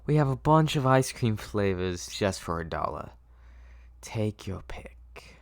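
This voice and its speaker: A man's voice: on the low side, animated, slow, no room sound, pitched above the performer's own voice. A man voicing an eerie but kindly doctor who sells ice cream, courteous and coaxing, in an amateur drama read. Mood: inviting.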